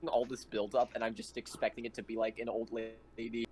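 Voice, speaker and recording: A man's voice: mid-range voice, flat delivery, brisk, a little mellow. A man casually chatting and joking as a player in a tabletop game.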